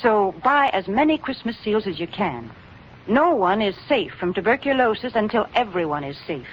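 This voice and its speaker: A woman reading a scripted 1940s radio sponsor announcement in an earnest, measured way. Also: mid-range voice, sing-song. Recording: muffled, hissy 1940s radio recording.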